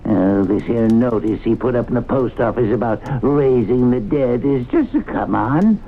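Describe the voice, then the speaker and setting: An actor playing a cocksure small-town skeptic, folksy and mocking, in old-time radio drama style. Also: male voice, mid-range voice, lively intonation, normal speed. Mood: scornful. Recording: noisy, phone-like old radio broadcast.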